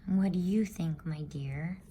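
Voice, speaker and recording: A woman voicing an elderly male professor in a bedtime storybook reading, calm, logical and measured, in a soft, breathy voice. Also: fairly low pitch, noisy background. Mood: measured, probing. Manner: measured, musing, pedantic.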